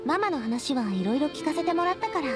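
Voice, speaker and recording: A spirited young lady boss in an anime game, speaks in a high, expressive voice that moves freely up and down. She is warm, candid and feminine, upbeat yet reflective, with music behind her. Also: fast talker. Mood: fond, reminiscing. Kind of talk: anime video game dialogue.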